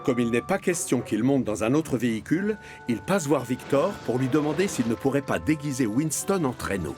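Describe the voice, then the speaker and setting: Un homme narrant un dessin animé pour enfants, avec un ton de conte animé. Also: male voice, mid-range voice, big pitch swings, moderate pace. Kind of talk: scripted storybook narration. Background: music.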